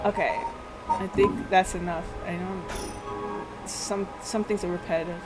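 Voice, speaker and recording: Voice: mid-range voice, steady tone, measured pace. A streamer reading a visual novel aloud and breaking off into playful, chatty asides to chat. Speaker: livestreamer. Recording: background music behind the voice. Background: music.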